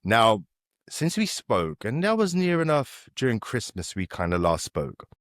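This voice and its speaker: A man hosting a podcast, greeting his guest and asking questions in a friendly, halting way with many fillers. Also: mid-range voice, huge pitch swings, no echo, studio quality. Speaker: podcast host. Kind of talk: casual podcast interview.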